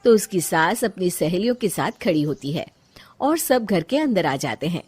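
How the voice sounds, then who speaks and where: A woman narrating a scripted story for an animated moral tale. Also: fairly low pitch, moderate pace, slightly rough.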